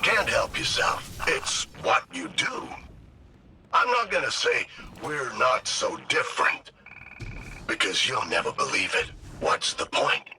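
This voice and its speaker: Game sidekick villain: sardonic, mocking, slangy, extremely animated, heard through very noisy ambience. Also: male voice, mid-range voice, slow, exaggerated voice. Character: sardonic, cocky male alien machine henchman, taunting. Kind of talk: video game dialogue acting.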